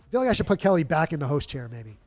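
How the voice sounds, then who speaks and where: A man's voice: fairly high pitch, sing-song, very fast, darkish tone, faint music behind. A man with a nasal voice hosting a live charity stream, chatting upbeat to fill time. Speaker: livestream host. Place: stadium event room.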